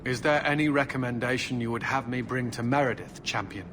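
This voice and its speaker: A man's voice: medium pitch, fairly quick, lightly acted. A man playing a stern, authoritative knight captain in a fantasy game, formal, skeptical and commanding. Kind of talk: fantasy video game acting. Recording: clear ambient background noise.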